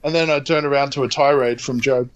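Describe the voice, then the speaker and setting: A man's voice: medium pitch, steady tone, brisk, clear, resonant tone, muffled recording. A man, co-hosting a podcast, teasing a friend and laughing easily. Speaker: podcast co-host. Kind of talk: casual podcast chat.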